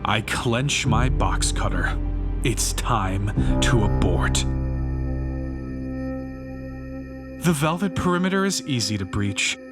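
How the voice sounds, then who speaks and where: A man's voice: mid-range voice, expressive, unhurried, lightly acted. A man performing a bitter, self-righteous failed painter turned fanatical vandal in an intense, rhyming dramatic monologue. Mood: menacing resolve. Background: music behind the voice.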